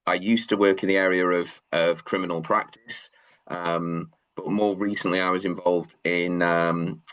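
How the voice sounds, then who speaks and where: Male law lecturer, Manchester accent, deep voice, halting delivery full of fillers, online class. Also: level tone, fairly bright tone. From England. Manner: hesitant, many fillers and restarts.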